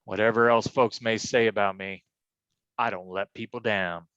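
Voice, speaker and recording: A man playing a brash, bright-voiced private eye. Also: lowish voice, fairly even intonation, normal speed, clean tone, no echo, lo-fi sound, close to an everyday voice. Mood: determined, wry. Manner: slangy, folksy tough-guy talk peppered with "huh?". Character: wisecracking, stubborn male private detective, loyal and brash. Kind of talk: hardboiled detective stage acting.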